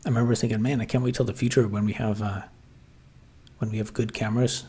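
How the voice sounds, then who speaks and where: A man's voice: lowish voice, little pitch movement, fast talker, darkish tone, husky. A man hosting a casual livestream, reading chat and musing aloud with lots of fillers and restarts. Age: adult, grew up watching 1990s TV.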